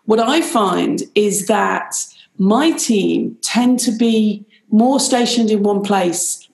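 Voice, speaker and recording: A middle-aged woman with a British accent talks in a chatty, animated way, often calling things rather lovely. Her voice carries a gravelly fry. She sounds like she's on a video call in an echoey room. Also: mid-range voice. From Ireland, raised in Pakistan and Nigeria. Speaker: business strategist guest. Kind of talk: conversational interview.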